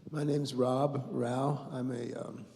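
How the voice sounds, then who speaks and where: A man's voice: medium pitch, little pitch movement, takes it slowly, gravelly, dark tone, big-room sound. A man introducing himself in a class with dry humor. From Tucson. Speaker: congregation chancellor. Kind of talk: casual self-introduction.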